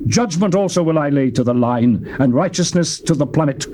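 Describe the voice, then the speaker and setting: British guy reading the bible like a stage actor, big swoops in pitch, noisy old tape. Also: animated, brisk, warm tone. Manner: declamatory, theatrical, with sweeping rises and falls in pitch. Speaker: Bible reader. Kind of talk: dramatic scripture reading.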